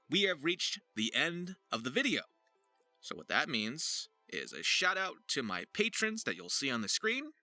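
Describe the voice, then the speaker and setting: A man's voice: fairly high pitch, sharp tone. A man hosting an informal voice-acting tutorial, talking straight to viewers like an earnest coach. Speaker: voice actor and video host.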